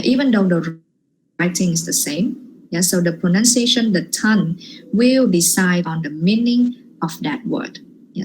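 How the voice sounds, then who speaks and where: A woman's voice: lowish voice, darkish tone, slight background noise, poor audio. A Vietnamese woman with a Vietnamese accent giving a workshop lecture over a video call, hesitant, with many "yeah" and "um" fillers. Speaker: guest lecturer.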